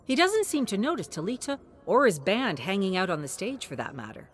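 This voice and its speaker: A woman reading scripted storybook narration in a measured, expressive storytelling manner. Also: mid-range voice, soft background music. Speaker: narrator of character lore.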